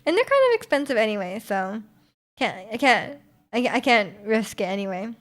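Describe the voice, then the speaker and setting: Chatty young woman streamer, fairly high voice. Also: big pitch swings, moderate pace, a bit bright. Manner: rambling, many fillers and restarts, frequent laughter. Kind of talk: casual chat reading viewer comments.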